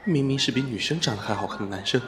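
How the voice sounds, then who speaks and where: A man playing a polite, reserved, bookish teenage schoolboy in an audio drama, courteous and hesitant with quiet exasperation. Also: medium pitch, animated, very fast, music in the background, close to an everyday voice. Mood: exasperated. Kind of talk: amateur Chinese audio drama acting.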